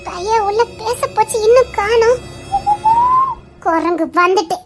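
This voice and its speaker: A fiery young sweetheart, acted by a woman, scolding and threatening her lover but laughing through it. She speaks in an extremely high, piping voice in a lively amateur drama. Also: unhurried, over music.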